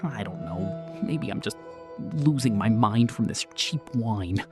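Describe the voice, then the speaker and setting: A soft-spoken, weary woman narrating a first-person horror story. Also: rumbling low, expressive, slow, over music.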